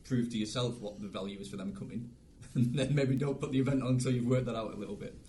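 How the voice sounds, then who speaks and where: A man's voice: mid-range voice, fast, warm tone. Jokey man bantering, faint background noise. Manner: jokey, enthusiastic, giggly. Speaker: podcast co-host. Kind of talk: casual banter.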